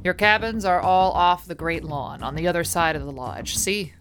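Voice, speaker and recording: A woman playing a brisk, composed production manager who narrates a mystery radio play in a controlled, noir storyteller's way. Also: lowish voice, expressive, a bit bright. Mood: brisk, welcoming. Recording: music bed behind the voice.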